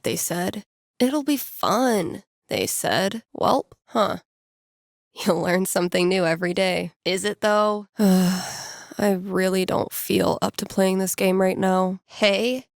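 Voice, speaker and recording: A woman's voice: mid-range voice, vocal fry, no room sound. A woman playing a wry, playful young American radio DJ in a video game, speaking casually with dry, sardonic, self-deprecating asides. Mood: self-deprecating, deflated. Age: young adult.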